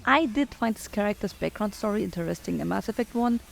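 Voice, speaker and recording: A woman's voice: medium pitch, lively intonation, quick pace, vocal fry. A woman giving her opinions in commentary on a video game playthrough.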